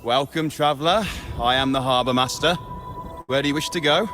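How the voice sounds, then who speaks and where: An actor playing a helpful, cautious harbour master in a video game, speaking in a courteous, formal way. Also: male voice, medium pitch, a little fast, over music, natural voice. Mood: welcoming, concerned.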